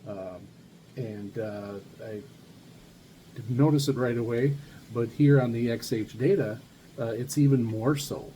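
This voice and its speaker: A man hosting a casual hands-on demonstration, talking in a halting, unscripted way as if thinking aloud. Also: mid-range voice. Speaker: hobbyist video host reviewing radios. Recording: noisy recording with loud background noise.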